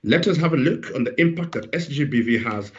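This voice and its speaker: Man moderator for an online panel, hesitant delivery, mid-pitched, muffled call audio. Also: animated, fast. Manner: hesitant, with frequent ums and uhs. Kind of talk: hosting a virtual panel. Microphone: video call.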